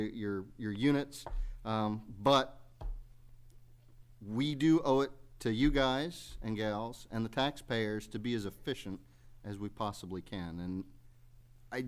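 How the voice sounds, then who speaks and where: A man speaking off the cuff as he chairs a public township meeting, measured and conciliatory, with folksy phrasing. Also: mid-range voice, clear, resonant tone. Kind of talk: unscripted remarks at a public meeting.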